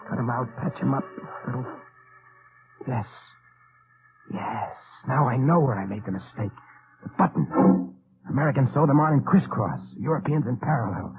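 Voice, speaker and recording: An actor playing a guarded undercover spy in an old-time radio drama, restrained and terse. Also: male voice, medium pitch, moderate pace, long pauses, over music, natural voice. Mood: grim realization. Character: guarded undercover American spy posing as German lieutenant. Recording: noisy, tinny old radio recording.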